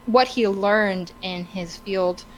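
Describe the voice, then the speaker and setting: A woman co-hosting a podcast in casual, opinionated discussion, halting and stammering as she searches for words. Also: medium pitch, low-quality recording. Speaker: podcast co-host. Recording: clear background noise.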